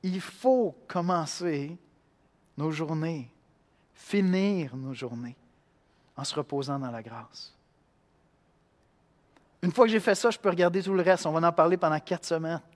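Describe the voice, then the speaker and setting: A man from Quebec with a Québécois accent, preaching a sermon in an animated way, acting out voices and swinging between loud and hushed. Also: a little high, measured pace, pauses often, big-room sound. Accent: Québécois French. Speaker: pastor.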